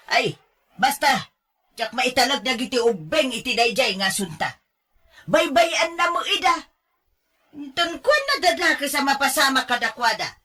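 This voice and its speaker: A woman plays an exasperated Filipino grandmother in a radio drama. She scolds and complains in drawn-out exclamations, her voice on the high side and her pace slow. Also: pushed and bright, exaggerated voice. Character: exasperated, scolding mother worried about grandchildren.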